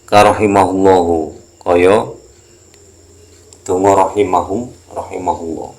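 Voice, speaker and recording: Very deep, gravelly Javanese-accented man. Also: steady tone, darkish tone. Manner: halting, repetitive, explanatory. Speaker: religious teacher. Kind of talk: Islamic text lesson, reading aloud and explaining.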